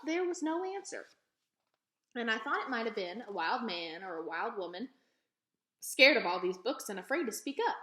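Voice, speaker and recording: A woman's voice: medium pitch, a bit bright, natural voice. A woman voicing a proud, folksy elderly librarian in a storybook read-aloud, speaking with emphatic repetitions. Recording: echoey room.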